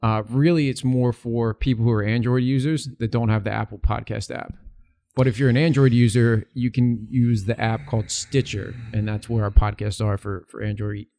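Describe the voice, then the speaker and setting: A man's voice: mid-range voice, fairly even intonation, moderate pace, dark tone. Easygoing young man chatting on a podcast. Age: young adult. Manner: easygoing, chatty, rambling with restarts. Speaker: podcast co-host. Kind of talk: casual chat.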